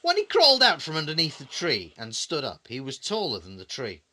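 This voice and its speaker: Animated dad telling a bedtime story. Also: male voice, on the high side, a little fast. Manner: conversational, with questioning asides and dramatic repetition. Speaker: father reading a bedtime story. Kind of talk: storytelling to a young child.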